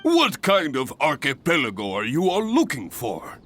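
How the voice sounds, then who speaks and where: A man's voice: fairly high pitch, huge pitch swings, normal speed, fairly bright tone. A man voicing a jovial, grandiose veteran adventurer in a video game, hearty, boastful and theatrical. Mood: hearty, curious. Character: jovial, grandiose seasoned male adventurer guild master. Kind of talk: video game character acting. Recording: game music behind the voice.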